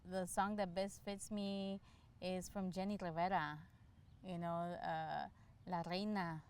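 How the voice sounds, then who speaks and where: A middle-aged woman from El Salvador giving casual, candid interview answers, thinking aloud in halting, restarted phrases. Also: on the low side, level tone, a little mellow, clear, resonant tone. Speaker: interview guest, law enforcement candidate for office.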